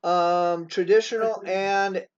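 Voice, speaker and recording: A man in his sixties hosting a casual interview, talking in a halting way with many pauses and restarts. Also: high-pitched, steady tone, clean tone. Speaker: host. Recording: dull lo-fi sound.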